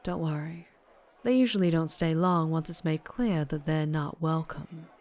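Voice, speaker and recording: Female vampire biker, acted by a woman, Southern US accent, slightly low pitch, flirtatious, teasing, doting, intimate ASMR roleplay. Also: moderate pace, a little mellow, natural voice. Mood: concerned, protective.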